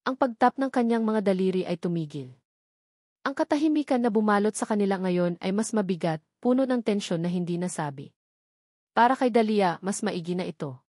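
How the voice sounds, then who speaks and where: A woman's voice: medium pitch, a little mellow, no room sound, studio quality. A woman reading a scripted dramatic love story as its narrator.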